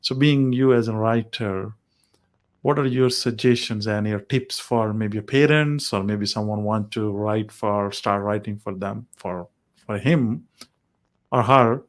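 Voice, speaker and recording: A man's voice: on the low side, unhurried. A man hosting a tech podcast, who backs the guest up with constant short "mm-hmm"s and asks long, rambling questions. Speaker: podcast host. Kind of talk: interview questions.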